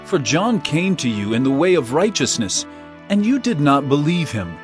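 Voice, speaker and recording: An actor playing an authoritative, earnest male religious teacher in an audio drama, speaking in emphatic, measured phrases. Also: a little high, sing-song, soft background music.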